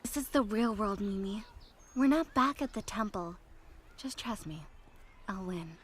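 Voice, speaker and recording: A woman's voice: mid-range voice, lively intonation, a little slow. A woman playing a brash, sarcastic, unimpressed teenage heroine in an anime-style audio drama. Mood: resolute. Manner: sarcastic, confrontational.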